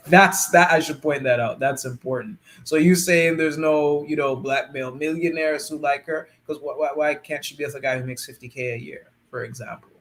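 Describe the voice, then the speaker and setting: A man's voice: fairly high pitch, fairly even intonation, normal speed, fairly bright tone. A man co-hosting a discussion on a video call, posing questions and laughing between points. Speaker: co-host.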